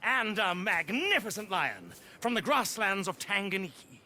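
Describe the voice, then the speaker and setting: A man's voice: high voice, big pitch swings, normal speed, sharp tone, slight background noise, full character voice. A man voicing a flamboyant British circus showman in a video game, with grandiloquent, theatrical, plummy delivery. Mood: pleading salesmanship. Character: flamboyant male circus showman calling himself Margaret, theatrical con artist. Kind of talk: video game cutscene acting.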